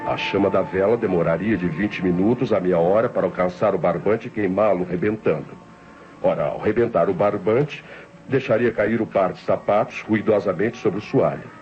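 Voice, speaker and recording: An actor playing a cold-blooded, scheming nephew who narrates his murder plot in deliberate, theatrical 1960s radio crime drama style. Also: low-pitched, lightly acted. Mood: calculating, confiding. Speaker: narrator and protagonist. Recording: muffled old radio recording with music.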